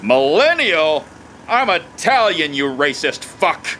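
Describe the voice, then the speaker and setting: An actor playing a touchy, bossy Italian police officer in an audio drama, blunt and wisecracking. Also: male voice, on the high side, very animated, slow, sharp tone, slight background noise, full character voice. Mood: indignant. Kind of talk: scripted audio drama acting.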